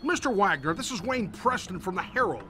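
An actor playing a pushy newspaper reporter heard through a telephone in a video game. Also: male voice, high-pitched, very animated, brisk. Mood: pressing. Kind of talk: video game cutscene acting.

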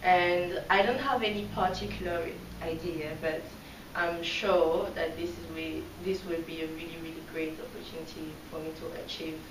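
A young woman from Cameroon answers a casting panel in her twenties' easy, earnest way, now and then tripping into fillers and restarts. Her voice sits a little low. A camera picks her up from across an echoey meeting room, with steady hiss underneath. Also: normal speed, hardly any pauses, recorded from a distance. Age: 20s. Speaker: pageant contestant. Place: meeting room with a red wall. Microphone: far camera.

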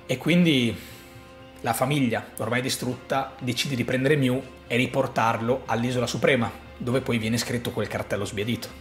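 A man gives an animated, emphatic explainer monologue in a conversational storytelling way. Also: mid-range voice, moderate pace, echoey room, faint music behind. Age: 30s. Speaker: video host and commentator. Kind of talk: enthusiastic explainer monologue to camera. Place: small furnished room at home, indoors.

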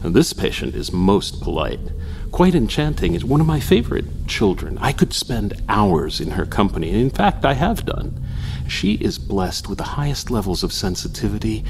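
An actor playing an unctuous, eccentric asylum doctor in a gothic radio drama, speaking with formal, theatrical courtesy. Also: male voice, on the low side, big pitch swings, normal speed, noisy background. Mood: grandly welcoming.

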